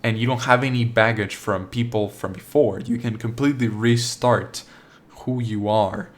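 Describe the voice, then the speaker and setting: A young man talks through a solo podcast episode in a relaxed, meandering way. His voice sits a little low. He trips over his own sentences and leans on "like" as he thinks aloud, without any accent. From Argentina. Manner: rambling, full of "like" and restarts, laid-back. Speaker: podcast host. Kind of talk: casual solo podcast monologue.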